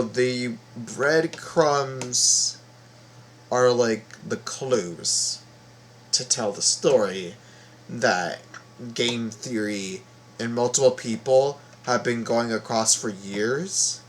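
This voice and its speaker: A man giving casual reaction commentary as a video host, halting and puzzled as he restarts his thoughts. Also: medium pitch. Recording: faint background noise.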